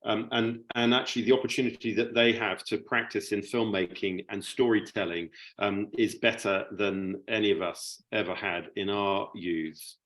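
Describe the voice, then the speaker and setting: A man's voice: lowish voice, fairly even intonation, moderate pace, few pauses, a bit bright. A middle-aged man in an informal panel discussion, speaking hesitantly and often repeating his words. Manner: hesitant, stammering repetitions of words. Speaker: media producer, panellist.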